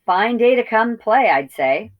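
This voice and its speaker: A woman's voice: on the high side, lively intonation, a little slow, dull audio, voice pushed up. A woman voicing a blunt old female sheep with a rustic, folksy way of talking in a storybook. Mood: cheery. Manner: rustic, folksy, plain-spoken.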